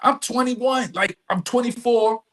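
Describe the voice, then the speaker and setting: A man's voice: very high voice, a little slow, a bit bright, a little hiss or hum. A man speaking as a podcast guest, telling personal stories candidly and emphatically, with street slang and repeated phrases. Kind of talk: candid long-form conversation, personal storytelling.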